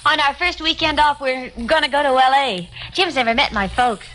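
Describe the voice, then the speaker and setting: High-voiced chirpy housewife. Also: female voice, normal speed, a bit bright, poor audio. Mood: chirpy, welcoming. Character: chirpy, hospitable young housewife. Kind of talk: 1950s radio drama acting.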